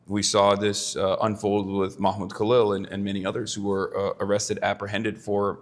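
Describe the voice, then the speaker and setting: A man's voice: fairly low pitch, completely flat delivery, moderate pace. A man from Turkey hosting a casual political interview, talking loosely with many fillers and restarts. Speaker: host.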